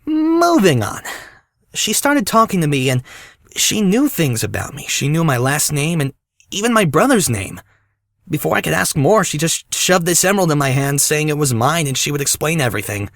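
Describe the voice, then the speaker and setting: Casting: man as young reluctant hero for audio drama, animated, sardonic, fed up, recorded close and dry. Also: fairly high pitch, moderate pace, no room sound. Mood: exasperated. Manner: sarcastic, exasperated. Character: exasperated, sarcastic, reluctant young male hero. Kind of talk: fan audio drama acting.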